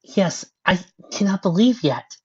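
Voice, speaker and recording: A woman's voice: mid-range voice, animated, measured pace, breathy edge, pitched above the performer's own voice. A woman voicing a shy, polite, hesitant young female pony in a story reading. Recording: dull lo-fi recording.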